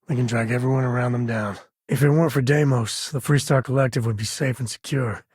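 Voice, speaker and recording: A rugged spacefaring ranger and protective father for a video game. His voice is very husky and gravel-edged, sitting at an average male pitch. He talks in a folksy, wry, conversational way, easygoing but loyal, in a close, dry recording. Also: fairly even intonation, brisk, darkish tone, no room sound. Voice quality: husky, raspy. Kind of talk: scripted game dialogue, conversational acting.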